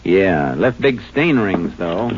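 A man acting a steady, methodical Texas Ranger investigator in a 1950s radio crime drama, terse, businesslike and matter-of-fact. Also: sits low, slow, full character voice. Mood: intent, measured. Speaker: lawman and narrator. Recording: muffled, noisy 1950s radio recording.

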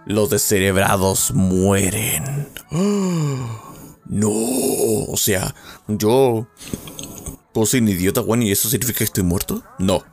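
A man's voice: on the low side, expressive, takes it slowly, vocal fry, darkish tone, faint music behind. A man with a Chilean accent, hosting a rambling, self-mocking podcast monologue with lots of swearing. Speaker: podcast host.

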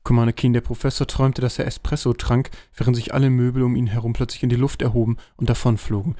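A man's voice: medium pitch, level tone, quick pace, mellow, no room sound, studio quality. A man narrating a scripted comic fantasy audio drama as its storyteller.

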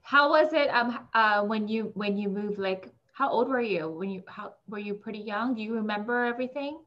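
A woman's voice: mid-range voice, normal speed, highs cut off. A woman hosting a podcast, opening the show and asking her guest friendly, encouraging questions. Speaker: podcast host. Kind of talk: podcast intro and interview.

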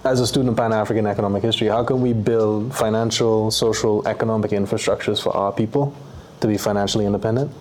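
A young man, a guest in a casual interview, talking in a relaxed, slangy and reflective way. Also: lowish voice, quick pace, a little hiss or hum. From Bahamas. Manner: laid-back, slangy, reflective.

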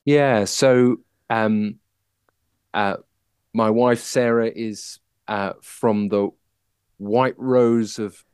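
A man from Manchester shares his personal story as a podcast guest. He speaks slowly and haltingly, reflecting as he goes, in a smooth, clear voice with a dry, close sound. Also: fairly low pitch, fairly even intonation, a little mellow, no echo. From Manchester, England. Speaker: podcast guest, musical writer.

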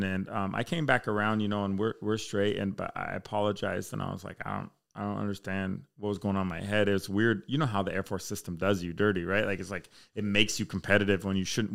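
Gravelly low-voiced man telling stories. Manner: laid-back, swears casually, rambling storyteller. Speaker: fire chief. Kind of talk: casual podcast chat.

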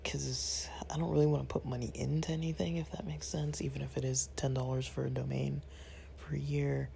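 A man hosting his own channel, talking casually to viewers at home in a raspy, half-whispered voice, rambling with lots of fillers and feeling a little unwell. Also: mid-range voice, little pitch movement, fairly quick, warm tone, slight background noise. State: a bit unwell, rested. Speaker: channel host.

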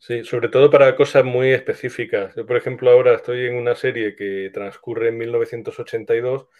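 A man's voice: mid-range voice, fairly even intonation, brisk, darkish tone, muffled recording. A man with an accent from Spain explains things in a casual, hesitant interview style, as a screenwriter being interviewed. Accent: Spain Spanish.

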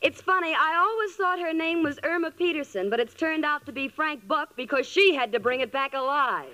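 A woman's voice: high voice, lively intonation, a little fast, sharp tone, slight background noise. A woman acting an exasperated, sensible young roommate in a vintage radio comedy, with wry, emphatic delivery.

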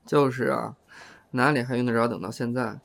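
A man's voice: mid-range voice, fairly even intonation, moderate pace, vocal fry, a bit bright. A man playing a reserved, self-effacing young man in an audio drama, reticent and understated.

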